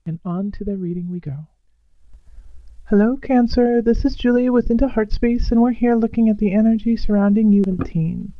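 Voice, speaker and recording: A woman reading tarot cards, hoarse and raspy from bronchitis, speaking calmly and drawing some phrases out slowly. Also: fairly high pitch, dry recording, highs cut off. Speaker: tarot reader.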